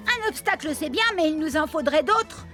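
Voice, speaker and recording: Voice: sits high, lively intonation, moderate pace. An actor voicing an eager, cheeky child character in a children's farm cartoon, with bouncy, encouraging delivery. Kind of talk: French animated children's series dialogue. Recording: music behind the voice.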